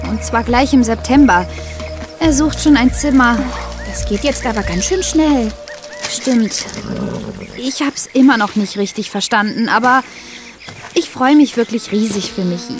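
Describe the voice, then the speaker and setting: An actress playing a chatty, expressive teenage girl in a German children's audio drama. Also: a little high, measured pace, faint music behind. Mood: excited.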